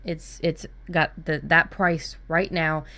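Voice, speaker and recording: A woman's voice: sits low, flat delivery, slow, bright, forceful tone. A woman co-hosting a workshop in casual, informal chat with a blunt way of talking. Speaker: workshop co-host.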